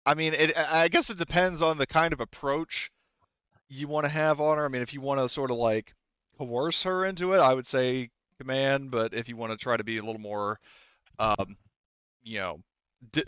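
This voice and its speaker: A man's voice: medium pitch, a bit bright, clear, resonant tone, no room sound, highs cut off. A man improvising casual narration as a tabletop game master, explaining things in a hesitant way.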